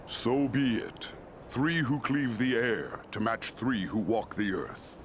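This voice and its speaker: A man's voice: mid-range voice, slow pace, slight background noise. A man playing a regal, solemn lord of giant eagles in a fantasy game, speaking in a stately, formal and commanding way. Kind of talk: dramatic fantasy game acting.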